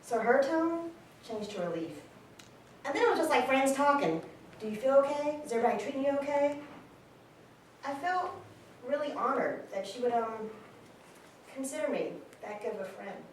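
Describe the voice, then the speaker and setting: A woman playing a candid, warm-hearted friend in a casual monologue at a staged reading. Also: high voice, lively intonation, normal speed, long pauses. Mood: fond, candid. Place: live staged reading in a large room. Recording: very echoey hall, distant mic, faint noise.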